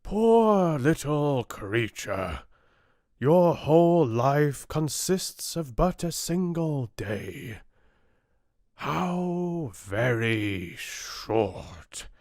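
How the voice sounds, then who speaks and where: Man playing a ponderous, very slow ancient oak. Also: mid-range voice, very animated, a little mellow, dry recording, studio quality, voice pushed up, exaggerated voice. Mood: pitying. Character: huge ancient oak tree, pitying, grave, patient. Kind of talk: storybook character voice.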